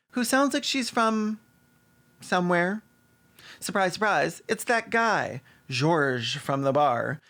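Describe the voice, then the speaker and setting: Sardonic, higher-pitched male commentator. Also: a bit bright. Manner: sardonic, mocking, acts out dialogue. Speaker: host of a video commentary channel. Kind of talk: sarcastic film recap commentary.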